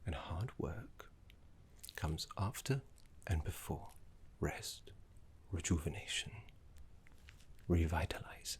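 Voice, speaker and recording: A man playing a genteel, fussy, courteous professor of necromancy in an ASMR roleplay, speaking in a soft, breathy, formal way with dramatic pauses. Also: sits low, animated. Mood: courteous, intimate.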